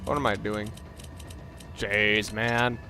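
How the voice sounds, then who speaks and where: A man's voice: fairly low pitch, flat delivery, slow, noisy background. A man giving casual let's play commentary in a rambling, self-deprecating way. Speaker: gaming video creator. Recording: loud game music behind. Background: video game music and sounds.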